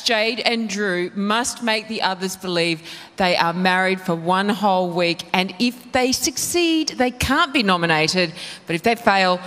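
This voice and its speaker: A woman's voice: fairly high pitch, fairly bright tone. Bubbly woman hosting on stage. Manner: bubbly and theatrical. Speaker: host. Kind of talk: live TV presenting. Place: large studio stage. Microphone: handheld mic. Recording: live stage with long reverb.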